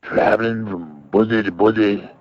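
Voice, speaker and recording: A man voicing a swaggering, happy old blues singer with a gruff, throaty voice and a boastful, repetitive drawl. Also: lowish voice, steady tone, normal speed, lightly acted. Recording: dull lo-fi sound, music behind.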